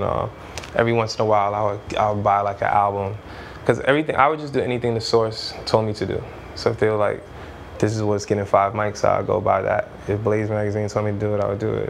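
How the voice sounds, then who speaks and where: A man's voice: lowish voice, creaky, a little hiss or hum. A man in his thirties from Trinidad, raised in Brooklyn, telling stories in a loose, rambling interview way. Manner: loose, rambling, lots of "you know what I mean". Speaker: music executive guest. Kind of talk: casual interview storytelling.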